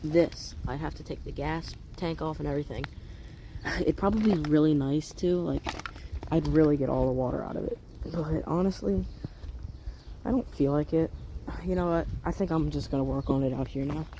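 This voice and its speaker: A man's voice: fairly high pitch, vocal fry. A young man chatting casually as he repairs a small engine, rambling and correcting himself in slang, recorded on a phone outdoors and then in a shed. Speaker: hobby mechanic vlogger. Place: outdoors on a deck in light rain, then a shed. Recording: noisy home phone recording.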